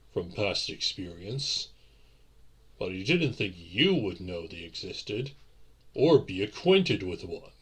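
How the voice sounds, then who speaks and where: A man playing a courtly, centuries-old vampire boyfriend in a romantic audio roleplay, speaking in formal, old-fashioned, deliberate phrases. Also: sits low, fairly bright tone, breathy edge, exaggerated voice. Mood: curious, surprised.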